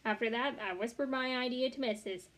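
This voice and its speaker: A young adult woman reads a children's story aloud in a fairly high voice. She is expressive, and now and then she trips on a word and corrects herself. She sits in a small bedroom, speaking into a device at arm's length. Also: fairly quick. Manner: expressive reading with occasional stumbles and self-corrections. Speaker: read-along storyteller. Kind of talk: reading a children's chapter book aloud.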